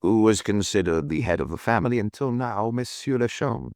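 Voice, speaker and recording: A man voicing a detective chief inspector in an audiobook, quiet, measured and persistent in his questioning. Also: lowish voice, no echo, clean studio sound, lightly acted. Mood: quietly insistent. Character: calm, probing male detective chief inspector.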